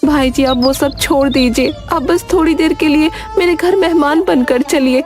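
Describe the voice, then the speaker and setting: A woman's voice: sits high, normal speed, faint music behind, lightly acted. A woman playing an exasperated, practical village wife in a cartoon, with a pleading, nagging delivery. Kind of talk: Hindi cartoon dialogue.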